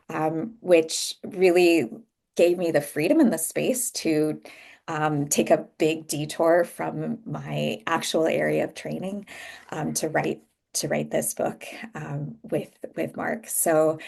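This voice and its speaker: An American woman in her thirties speaks as a guest author in a reflective, soft-spoken way, pausing often. Her voice is low and carries a noticeable gravelly fry. She is heard over a video call. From United States. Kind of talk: reflective conversation.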